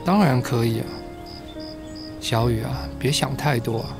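A man's voice: medium pitch, a little slow, natural voice. Guy playing a kindly protective male teacher in a radio play, music playing behind him. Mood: gentle, reassuring. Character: soothing, protective male schoolteacher. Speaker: voice actor in a fan radio drama. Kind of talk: radio drama acting.

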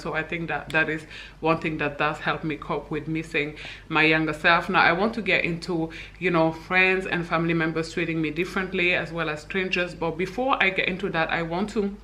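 Bright-voiced chatty young woman from Senegal. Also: lowish voice. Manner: chatty, frequent fillers and laughs, animated hand gestures. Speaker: vlogger. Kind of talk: candid personal vlog. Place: bright indoor living room.

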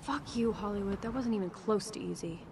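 A woman's voice: mid-range voice, a little fast, background noise, lightly acted. An actress playing a jumpy, sceptical young woman survivor in a horror video game, blunt and sarcastic. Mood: annoyed. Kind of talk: video game drama acting.

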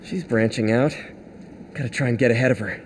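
An actor playing an eager young American superhero and lab assistant in a video game, speaking casually and earnestly. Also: male voice, medium pitch, a little fast, a little hiss or hum, lightly acted. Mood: musing. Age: young adult.

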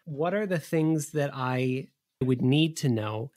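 A man's voice: medium pitch, slow, darkish tone, dry recording, studio quality. A man with an American accent, hosting a podcast and asking questions in a conversational interview style. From United States.

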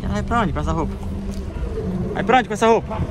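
A man's voice: high-pitched, fast. Chatty Cearense man over road noise. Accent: Cearense Portuguese. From Ceará, Brazil. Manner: chatty, easygoing, points things out like a local guide. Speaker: vlogger. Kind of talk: casual chat while driving around town.

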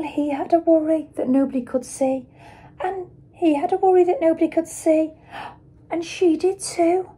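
A middle-aged woman reads a children's picture book aloud like a teacher, with dramatic emphasis and pauses for young listeners. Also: high voice, warm tone. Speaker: schoolteacher reading aloud.

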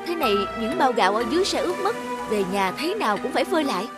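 A woman voicing a calculating male novice trader who mutters to himself in an animated folk tale. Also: high voice, very fast, over loud music. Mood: sly, self-satisfied. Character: sly, self-serving male novice trader.

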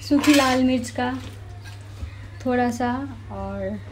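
A woman's voice: on the high side, slow pace. A young woman with a Bihari Hindi accent chatting to viewers in a home cooking vlog, filmed on a phone held close in her kitchen. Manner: chatty, addresses viewers as friends. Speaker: vlogger. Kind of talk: casual cooking vlog narration. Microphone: phone camera held close. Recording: clear sizzling and pan noise behind. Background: frying and stirring sounds.

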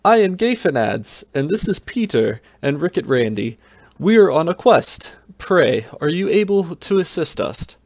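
A man's voice: fairly high pitch, very animated, unhurried, a little mellow, no room sound, pitched above the performer's own voice, exaggerated voice. A polite young male adventurer, as a man telling a story puts him on, speaking with stiff, old-fashioned courtesy. The voice sits well above a plain speaking voice. It sounds like an earnest storybook hero. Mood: courteous.

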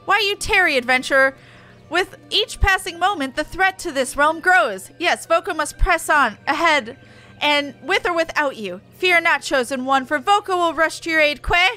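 A woman's voice: high-pitched, lively intonation, moderate pace, bright, forceful tone, soft background music, voice pushed up. A woman voicing a pompous, cowardly male bird prince from a fantasy game, proclaiming grandly with squawks. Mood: pompous, heroic.

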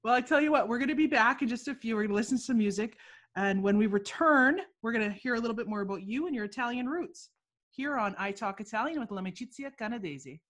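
A woman's voice: fairly high pitch, expressive, fast, fairly bright tone. A woman in her thirties hosting an interview over a video call, upbeat and playful. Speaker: show host. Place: home office, indoors. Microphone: large studio mic, headset earpiece.

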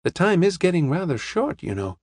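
A man's voice: high-pitched, sing-song, brisk, a little mellow, slightly rough, no room sound, pitched above the performer's own voice, full character voice. A man voicing an earnest, puzzled young woman in an audiobook, with an eager, questioning manner. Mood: troubled, apologetic.

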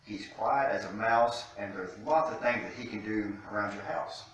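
A man's voice: lowish voice, normal speed, a bit bright. A middle-aged man reading a picture book aloud to children in an indoor room, picked up by a distant camera microphone. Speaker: guest storytime reader, city official. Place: indoor room, seated by a fireplace mantel. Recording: very echoey room, distant mic, faint noise.

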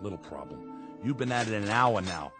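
A worn-out, grumbling New cab driver, played by an actor, gruff and quick to defend himself. His pitch moves a lot between gripes. Soft music sits under the radio drama. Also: lively intonation, natural voice. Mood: weary. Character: tired, grumpy working-class cab driver husband.

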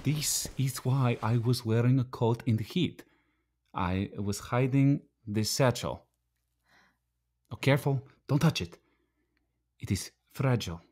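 An actor playing a proud, desperate immigrant night watchman in a radio play, pleading earnestly and haltingly. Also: mid-range voice, darkish tone, husky. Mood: hushed, earnest.

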